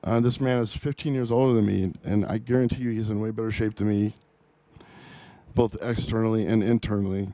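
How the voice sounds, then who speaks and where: A man in his forties hosting a music reaction video, talking off the cuff in an earnest, halting way with many fillers and restarts. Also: fairly low pitch, fairly even intonation, mellow, no room sound. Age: 40s. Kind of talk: unscripted opinion monologue.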